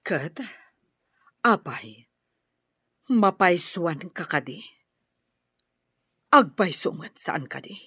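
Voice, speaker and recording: Questioning, reproachful woman, husky, animated pitch, Ilocano accent, radio drama. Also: drawn-out words, long pauses, no echo, full character voice. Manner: probing, reproachful. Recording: dull, muffled radio drama audio.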